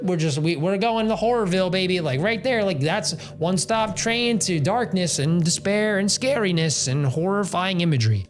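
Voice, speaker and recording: A young man giving casual, slangy commentary on a video game, rambling and playfully dramatic. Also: high-pitched.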